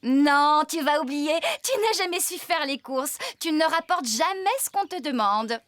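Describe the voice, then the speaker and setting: High-pitched, bossy cartoon farm woman. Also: no echo, clean studio sound. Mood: teasing, bossy. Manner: brisk, expressive, scolding. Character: bossy, lively young woman farmer, teasing and exasperated. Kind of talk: French children's cartoon dubbing. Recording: background music under the voice.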